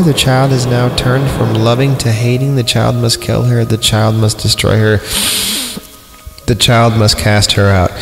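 A man hosting a horror podcast, reading creepy stories aloud in an irreverent, halting way and stumbling over the text. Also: medium pitch. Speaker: podcast host and announcer. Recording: long reverb on voice, music underneath. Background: eerie horror film score.

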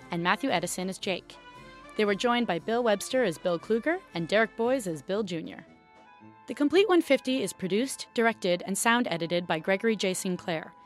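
A woman reading scripted credits as an announcer. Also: mid-range voice.

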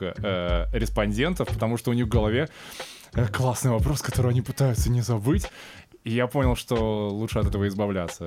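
A man in his early thirties talks like an informal host, musing and thinking out loud. His voice sits at a medium pitch, with music clearly playing behind it. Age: early 30s. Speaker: host of a conversational show. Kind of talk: casual chat, discussion of art and culture.

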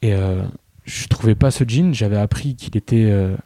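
A man's voice: fairly low pitch, normal speed, warm tone, no echo. A man in his thirties, chatting casually and telling a self-mocking story. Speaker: guest. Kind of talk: casual podcast conversation.

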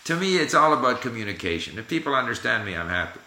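A man's voice: medium pitch, big pitch swings, fast, pushed and bright. A man hosting a casual conversation about language learning, encouraging and emphatic, repeating his key phrases. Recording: echoey room.

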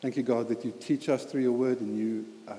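A man in his thirties with a South African accent, preaching in a church hall. He talks in an earnest, halting way with many fillers and restarts, and his voice is mellow and mid-pitched. The sound is roomy, with a long hall reverb. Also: fairly even intonation. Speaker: lay preacher. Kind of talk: sermon with personal story.

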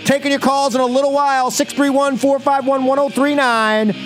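High-pitched American man, scolding radio host. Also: flat delivery, fairly quick, a bit bright, soft background music. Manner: emphatic, scolding, speaks in short repeated phrases. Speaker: radio talk show host. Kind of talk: political opinion monologue on call-in radio.

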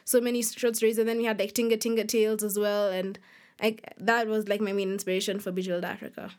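A woman's voice: mid-range voice, level tone, quick pace, a little mellow. A young woman from Kenya being interviewed as a guest, talking casually and a little hesitantly, often saying "like". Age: young adult. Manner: informal, hesitant, frequent "like". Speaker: podcast guest, young author.

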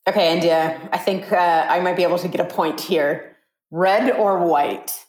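A woman co-hosting a podcast, asking quick questions in a relaxed, teasing way. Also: fairly low pitch. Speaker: podcast co-host. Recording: roomy echoey room.

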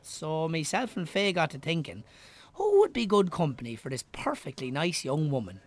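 An actor with an Irish accent playing a breezy, persuasive publican and matchmaking friend in a naturalistic radio drama. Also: male voice, on the high side, sing-song, moderate pace. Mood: breezy, coaxing.

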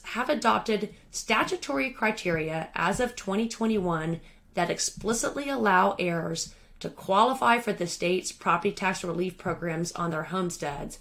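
A woman reading a written article aloud as scripted narration, in a measured, formal manner. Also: mid-range voice.

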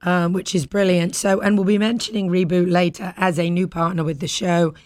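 A British woman hosting a talk show in casual, playful chat, with a husky voice recovering from being lost. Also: fairly low pitch, steady tone, fairly quick, a little mellow, no room sound, studio quality. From Britain. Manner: playful, bantering.

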